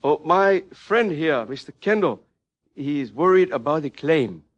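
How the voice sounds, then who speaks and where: Casting: serene, shrewd Chinese mine owner, Chinese-accented formal English, fairly high voice, muffled vintage radio western. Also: male voice, animated. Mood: courteous, innocent. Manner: formal, courteous, deliberate broken English. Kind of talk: old-time radio western acting. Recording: muffled old radio recording.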